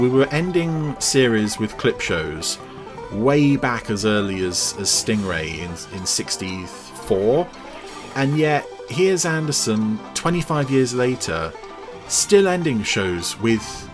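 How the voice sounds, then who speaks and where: A man with a mid-pitched, very expressive voice gives a running review. He rambles with enthusiasm, and music plays clearly behind him. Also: takes it slowly. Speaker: podcast reviewer. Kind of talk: commentary over cartoon clips.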